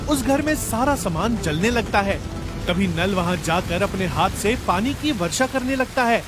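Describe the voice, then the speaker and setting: A man's voice: high voice, lively intonation, a little slow, music in the background. A man narrating a scripted moral story for children as a storyteller.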